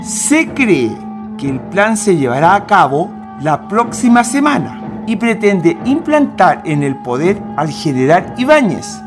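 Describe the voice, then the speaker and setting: An actor playing a grave, commanding president in a radio drama, speaking formally and deliberately. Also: male voice, high-pitched, big pitch swings, over music.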